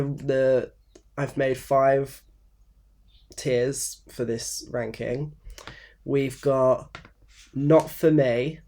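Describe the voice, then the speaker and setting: A man in his twenties, croaky and blocked up from a cold, rambling casually to camera about books with many fillers and restarts. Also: mid-range voice, a little mellow. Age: 20s. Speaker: book vlogger. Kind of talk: casual unscripted talk to camera. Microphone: phone camera.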